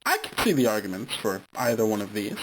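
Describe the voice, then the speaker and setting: A man voicing a smarmy male game-show host inside an animated screen, with a mocking, theatrical delivery. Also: fairly low pitch, fast, background noise, voice pushed down. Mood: game-show taunting.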